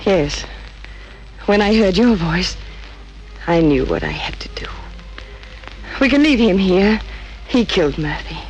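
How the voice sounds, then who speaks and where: Actress as breathy, swooping femme fatale. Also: female voice, medium pitch, lively intonation, unhurried, long pauses, faint music behind, close to an everyday voice, rough recording. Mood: seductive. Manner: breathy, coaxing, melodramatic. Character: seductive, scheming femme fatale, glamorous model. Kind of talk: old-time radio crime drama acting. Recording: old radio recording with faint hiss.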